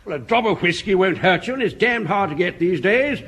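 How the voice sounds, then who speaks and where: Very high-pitched hearty English officer. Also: male voice, animated, a little fast, a bit bright, breathy edge, full character voice. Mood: firm, bluff. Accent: upper-class English. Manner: bluff, jovial, commanding. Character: hearty, domineering upper-class English army officer. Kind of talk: British radio sitcom acting.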